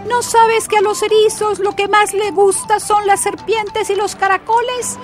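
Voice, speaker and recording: Woman doing a squeaky grumbling little dream-eating imp. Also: level tone, measured pace, faint music behind. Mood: greedy. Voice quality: thin, high squeaky voice. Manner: grumbling, impatient, sing-song. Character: tiny grumpy male dream-eating imp. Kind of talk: storybook character voice.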